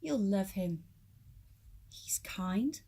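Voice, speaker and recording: A woman plays a loyal, protective godmother in an audio drama. She speaks slowly and deliberately, through loud noise on an amateur microphone. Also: mid-range voice, animated. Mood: reassuring. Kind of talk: scripted audio drama acting.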